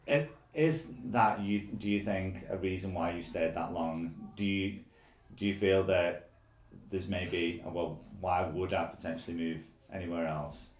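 A man's voice: low-pitched, steady tone, a little slow, reverberant. A man interviewing off camera, asking questions with many restarts. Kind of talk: oral history interview.

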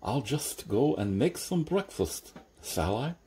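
A man voicing a calm, deferential, stitched-together manservant who answers dryly and patiently. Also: medium pitch, slow pace. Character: unflappable, deferential stitched-together male servant. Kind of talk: audiobook character reading.

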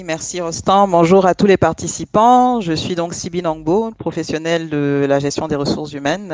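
A woman's voice: low voice, darkish tone, no room sound. A middle-aged woman introducing herself earnestly on a video call over a headset. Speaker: HR director, panelist. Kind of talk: self-introduction on webinar.

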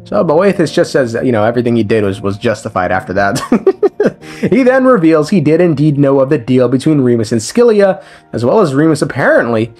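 Animated, irreverent man recapping game lore. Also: medium pitch, brisk. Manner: irreverent, sweary, slangy. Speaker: video game lore commentator. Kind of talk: casual scripted story recap with joking asides. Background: background music.

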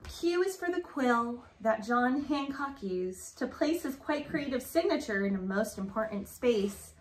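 A woman with a high voice reads a picture book to young children. Her delivery is sing-song and encouraging, as a storytime teacher would be. The room around her is live and echoey. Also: lively intonation, measured pace. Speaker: storytime reader. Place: living room, indoors. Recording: roomy, echoey home recording.

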